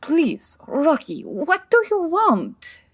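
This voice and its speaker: Woman playing a slow-talking faded Turkish beauty. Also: high-pitched, huge pitch swings, full character voice. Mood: uneasy, guarded. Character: faded middle-aged Turkish beauty, proud, wounded bathhouse owner. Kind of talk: audio drama acting.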